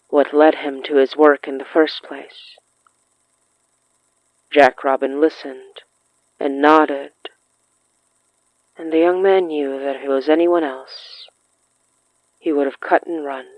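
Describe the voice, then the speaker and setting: A narrator telling a scripted literary horror story in a measured way, with dramatic pauses between short phrases. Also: medium pitch, fairly even intonation, no echo, highs cut off. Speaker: narrator of a horror fiction podcast.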